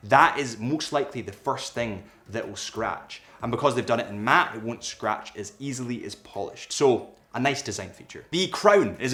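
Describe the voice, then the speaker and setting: A man's voice: medium pitch, a bit bright. A young man with a cold and a slightly nasal voice, chatting casually and a little ramblingly as he reviews a watch to camera. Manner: chatty and rambling, with self-aware asides.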